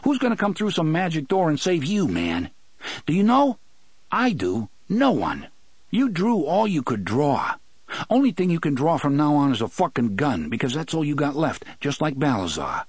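A man voicing a tearful young man who is angry and pleading, speaking in a quick, strained voice raised above the narrator's own. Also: fairly high pitch, wildly swooping pitch, breathy edge, dry recording, voice pushed up, full character voice. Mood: bitter, pleading. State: crying.

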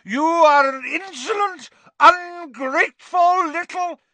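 A man voicing a loud, pompous, spiteful middle-aged aunt who has been drinking, in an audiobook. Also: high voice, sing-song, measured pace, no room sound, voice pushed up, exaggerated voice. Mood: screaming fury. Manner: pompous, sneering, emphatic. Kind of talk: audiobook character voice.

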